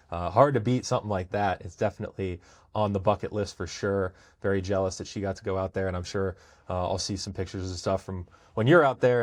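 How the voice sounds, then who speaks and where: An American man hosting a podcast, talking casually about sports in a conversational way. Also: low-pitched. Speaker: podcast host.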